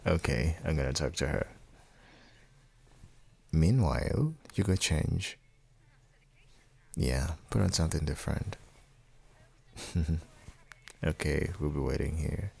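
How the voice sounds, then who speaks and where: A man playing an affectionate, playfully teasing young dad and husband in an intimate ASMR audio roleplay, speaking softly and often dropping into whispers. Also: expressive, slight background noise, full character voice. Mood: warm, amused. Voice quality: very deep, husky, gravelly, breathy. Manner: soft, unhurried, often slipping into whispers.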